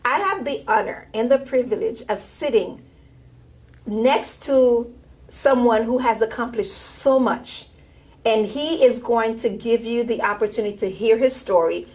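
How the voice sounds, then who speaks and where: A woman hosts a talk show with a playful, teasing touch. Her voice sits a little high. She sounds animated and quick to joke. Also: poor audio. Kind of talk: lively interview.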